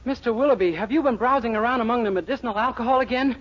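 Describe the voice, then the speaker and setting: A man's voice: very high-pitched, rapid-fire. An actor playing a naive, eager young man with a cheeky wit in a 1940s radio comedy. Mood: innocent, puzzled. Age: young adult. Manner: innocent, earnest, cheeky. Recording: hissy, dull 1940s radio recording.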